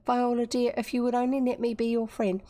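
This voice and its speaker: A woman voicing a gentle, sensitive schoolgirl in an audiobook story, with a tender, pleading manner. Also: fairly high pitch, level tone, a little fast, pitched above the performer's own voice, close to an everyday voice.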